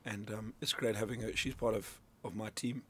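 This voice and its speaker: A man's voice: on the low side, fairly even intonation, moderate pace, bright, forceful tone, breathy edge. A middle-aged man from Zimbabwe, a sales coach talking through his ideas as a studio guest, loose and conversational with plenty of restarts. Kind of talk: radio interview, explaining ideas. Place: radio studio.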